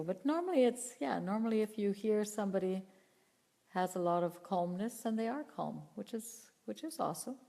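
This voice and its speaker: A woman voice teacher answering viewer questions live, talking in an unhurried, earnest way and often repeating or restarting her phrases. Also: medium pitch, creaky, warm tone, long reverb tail. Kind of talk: live Q&A talk, reading chat questions.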